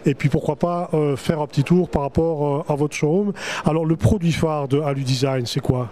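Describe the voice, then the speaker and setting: A man's voice: on the high side, normal speed, dark tone. A middle-aged man, hosting chatty promotional interviews at a trade show, speaking into a handheld microphone. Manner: chatty, salesman-like presenter. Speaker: trade-fair TV host. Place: exhibition hall stands.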